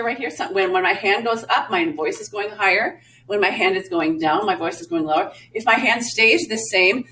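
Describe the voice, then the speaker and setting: A woman's voice: medium pitch, fairly bright tone. A woman music teacher giving a recorded lesson to young children, explaining patiently step by step. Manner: sings short phrases to show melody.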